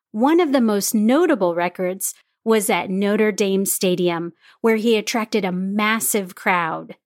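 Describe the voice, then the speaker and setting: A woman's voice: medium pitch, no room sound, studio quality. A woman hosting a podcast, reading scripted narration with chatty, enthusiastic asides to her listeners. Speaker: podcast host.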